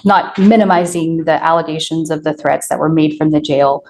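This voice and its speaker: Low-voiced American female lawyer, noisy recording. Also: moderate pace, darkish tone. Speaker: defense attorney. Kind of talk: formal courtroom argument. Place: courtroom.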